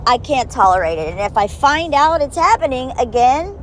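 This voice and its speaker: A woman with a high-pitched voice gives a blunt, profane monologue straight to the listener, slowing down to stress key words. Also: very animated, a little hiss or hum. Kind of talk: direct-to-camera monologue.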